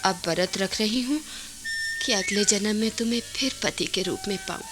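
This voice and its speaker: An actress in a Hindi radio play playing a tender, devoted village wife, speaking with expressive, emotional delivery. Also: female voice, mid-range voice, moderate pace, over music, lightly acted. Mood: earnest, moved.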